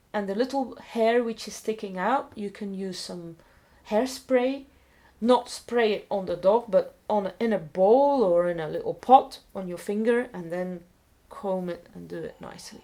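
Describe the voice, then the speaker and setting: A middle-aged woman from Belgium speaks as a non-native with a Belgian accent. She explains a hands-on demonstration at a slow, measured pace, in simple, informal phrases. Her pitch sits in the middle for a woman. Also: a little mellow. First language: not English. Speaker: dog groomer presenting a tutorial.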